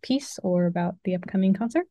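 A woman's voice: mid-range voice, lively intonation, fairly quick, warm tone, no echo. A woman hosting a casual interview in an informal, approving way, heard in muffled sound. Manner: brief approving responses, informal. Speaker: interview host.

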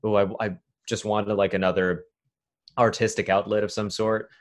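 A man's voice: on the low side, flat delivery, moderate pace. A man in his twenties from New York City, a stand-up comedian being interviewed, talking in a chatty, rambling way full of fillers. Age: 20s. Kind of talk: casual conversational interview.

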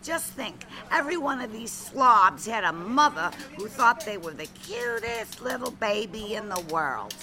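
A woman's voice: on the high side, big pitch swings, unhurried, gravelly, hardly any pauses, full character voice. A woman playing a brassy, streetwise, motherly veteran waitress in a comic radio play, coaxing and wisecracking in slang. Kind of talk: comic radio theatre acting. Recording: noisy restaurant ambience behind.

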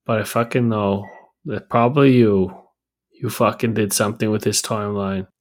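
A man's voice: fairly low pitch, steady tone, normal speed, natural voice. A man doing a foul-mouthed Boston time cop. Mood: gruff. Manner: swears constantly, blunt and gruff. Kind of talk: improv comedy.

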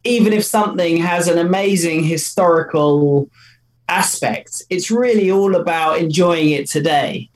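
A man's voice: fairly high pitch, unhurried, slight background noise. A man, co-founder of a company, answering interview questions in an enthusiastic, loose way with many fillers.

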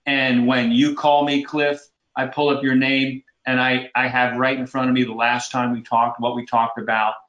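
A man talks with earnest, homespun conviction, often stopping and restarting mid-thought. His voice sits at an ordinary middle pitch. The recording picks up a lot of room echo. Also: level tone, fairly bright tone, muffled recording. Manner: earnest, folksy, halting with restarts. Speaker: commercial real estate broker, guest. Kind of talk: casual advice in podcast interview. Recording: roomy echoey sound.

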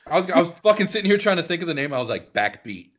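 A man's voice: a little high, sing-song, rapid-fire, fairly bright tone, dull audio. A man in casual chat, joking around and swearing often. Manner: profane, joking banter.

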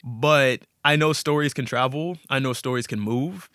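A man's voice: medium pitch, moderate pace, fairly bright tone, smooth, no room sound, studio quality. A man speaking as a guest storyteller in a casual interview, candid and informal, with a self-deprecating way of talking.

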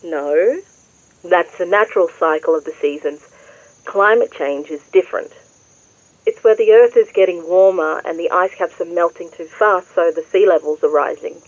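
An actress playing a patient, earnest adult Australian daughter in an audio drama, explaining things clearly. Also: female voice, fairly low pitch, normal speed, no echo, dull audio, close to an everyday voice. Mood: reassuring. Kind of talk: naturalistic audio drama dialogue.

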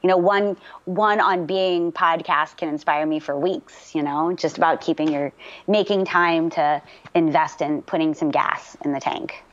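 Low-voiced, chatty woman voice actor. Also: unhurried, muffled recording. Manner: lively and talkative, with many fillers. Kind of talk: casual interview chat.

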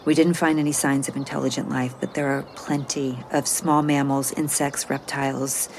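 A woman's voice: very deep voice, flat delivery, normal speed, a little hiss or hum. An actress playing an anxious, flustered botanist on a space mission, hesitant and self-doubting as she gives a report in an audio drama. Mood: worried, explaining. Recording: moody music score underneath.

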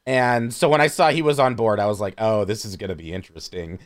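A man chatting casually as a podcast panelist, critiquing films in an animated, sarcastic way. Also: lowish voice, fast. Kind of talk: casual film criticism chat.

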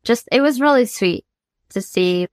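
A woman's voice: fairly high pitch, expressive, a little slow, darkish tone, no room sound, studio quality. A young woman from the United States, a singer-songwriter guest, telling her life story candidly and earnestly, laughing easily as she talks.

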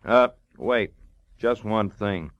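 An actor playing a brisk, inquisitive male police lieutenant in plain-spoken 1940s radio drama style. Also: lowish voice. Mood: curious, businesslike. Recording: dull vintage radio recording.

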